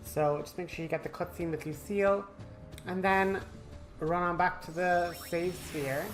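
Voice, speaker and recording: A man's voice: high voice, steady tone, normal speed, soft background music. A man hosting a game walkthrough in a casual, chatty style with wry asides. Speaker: gaming walkthrough host.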